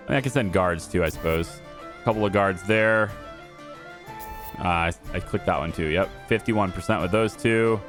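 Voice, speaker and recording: Hoarse low-voiced man muttering through a game. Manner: thinking aloud, muttering to himself and the viewers. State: hoarse, losing his voice. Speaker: gaming YouTuber. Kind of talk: casual let's-play commentary. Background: game music.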